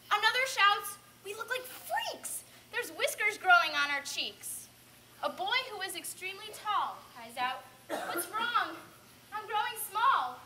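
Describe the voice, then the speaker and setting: A gloating witch chanting gruesome verse. Her voice is very high and swoops dramatically like a theatrical storyteller. It is heard from a distance through clear noise. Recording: distant, echoey, noisy room sound.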